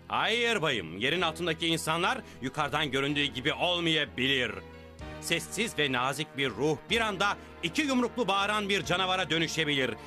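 A man's voice: on the high side, bright, forceful tone. A man voicing a big blue superhero who is boastful and cheerfully dim, making grandiose speeches in a dubbed cartoon.

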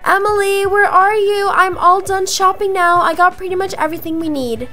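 A young woman acting out improvised roleplay dialogue for a video game, in an animated manner with drawn-out words. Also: very high-pitched. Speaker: gaming video creator voicing roleplay characters. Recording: music behind the voice. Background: music.

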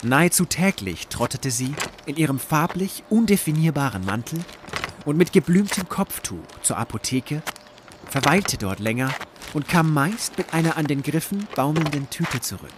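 A man reading scripted literary narration for an audio drama in a measured, deliberate storytelling manner. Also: fairly high pitch, lively intonation, noisy background.

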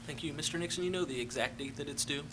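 A man presides over a formal council meeting, moving briskly through the procedure. The recording carries clear background noise from the chamber. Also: on the high side.

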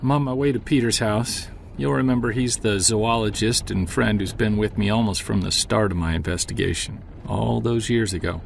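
A man's voice: on the low side, moderate pace, gravelly, hardly any pauses, a little hiss or hum. An actor playing a weary, stressed male investigator confiding in an audio log, hesitant and downbeat, as in an audio drama. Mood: weary, troubled. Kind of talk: audio drama, confessional narration.

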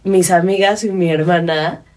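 A Mexican woman in her thirties speaks as a podcast host in a small studio. Her pitch is a bit low and her delivery is conversational. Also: fairly even intonation, normal speed, a little mellow. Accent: Mexican Spanish. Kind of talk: casual conversational interview. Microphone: studio microphone on boom arm.

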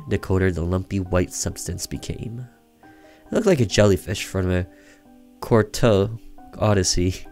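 Deep-voiced man reading a story. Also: darkish tone. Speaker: gaming streamer reading a visual novel aloud. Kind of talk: expressive story narration read aloud. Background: music under the voice.

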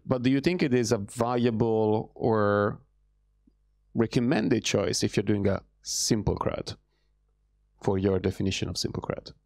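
A young man moderating a panel in a large hall, putting questions in a measured way with asides, into a handheld mic. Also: on the low side, gravelly, darkish tone, clean studio sound.

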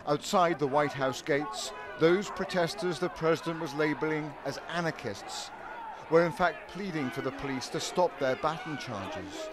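A male correspondent reads his report in a measured, grave voice, a touch higher than most men. Loud crowd noise and street clatter sit underneath him. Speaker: news correspondent. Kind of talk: news report voice-over.

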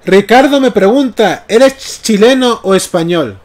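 Canarian streamer guy, fairly high voice, casual chatty comic talk on a headset mic. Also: expressive, measured pace, darkish tone. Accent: Canarian Spanish. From Canary Islands. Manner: chatty, often checks in with "¿vale?". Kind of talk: casual livestream commentary. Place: small room at home, indoors. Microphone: gaming headset mic.

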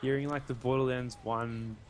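A man's voice: medium pitch, flat delivery, moderate pace. A young man chatting during co-op gameplay, rambling and full of "like". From Australia. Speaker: co-op gaming partner. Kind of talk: casual co-op gameplay chat.

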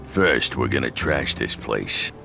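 Very deep, gravelly gangster. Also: male voice, animated, slow pace, over music, heavily acted. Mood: bossy, vengeful. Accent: American. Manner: blunt, commanding. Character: gruff, vengeful small-time gangster, bossy and crude. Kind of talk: video game voice acting.

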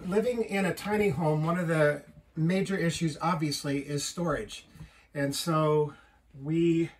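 A man in his fifties giving a casual, matter-of-fact tour of his small wood-paneled home, recorded by a camera a few feet away. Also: a little high. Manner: plain, matter-of-fact explaining with frequent ums. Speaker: homeowner giving a house tour. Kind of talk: casual house tour talk. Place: small wood-paneled tiny house indoors. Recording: roomy, slightly distant with faint hiss.

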